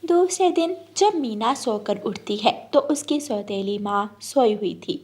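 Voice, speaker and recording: A woman's voice: fairly high pitch, expressive, a little slow, a little mellow, breathy edge. A woman storyteller narrating a scripted children's story.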